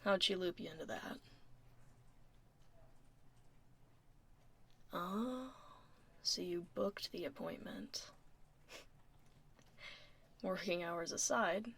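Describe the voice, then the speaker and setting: A woman playing a blunt but caring dragon lady at the office in a soft-spoken, casual ASMR roleplay, with a dry, low-key manner. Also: slow pace, pauses often, breathy edge, full character voice. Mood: easygoing, curious.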